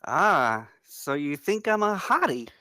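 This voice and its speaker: A woman's voice: deep voice, very animated, slow pace, pushed and bright, no room sound, studio quality, heavily acted. A woman playing a flirty, confident woman in her twenties at a screenplay table read. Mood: teasing.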